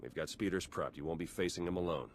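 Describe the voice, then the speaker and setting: An actor playing a brisk, steady male military commander in a video game, giving crisp orders. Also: sits low, a little hiss or hum, close to an everyday voice.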